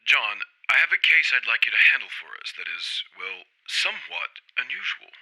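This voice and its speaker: Radio play role: anxious worrier of an insurance man, played by a man, bright forceful voice, jumpy pitch, hesitant phrasing. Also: big pitch swings, normal speed, exaggerated voice, low-quality recording. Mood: uneasy. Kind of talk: 1950s-style radio drama acting.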